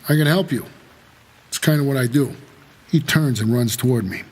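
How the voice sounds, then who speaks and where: An American actor playing a weary, guarded supernatural driver who narrates an audio drama in terse, measured noir style. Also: male voice, medium pitch, a little mellow, slightly rough, lightly acted. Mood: calm, reassuring.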